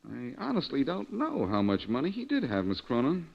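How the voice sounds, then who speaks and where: A man's voice: mid-range voice, huge pitch swings, a little fast, creaky, slightly rough, full character voice. A man playing a shrewd, hard-nosed private detective in 1940s radio style, clipped and wisecracking. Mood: sardonic, probing. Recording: dull lo-fi old radio recording.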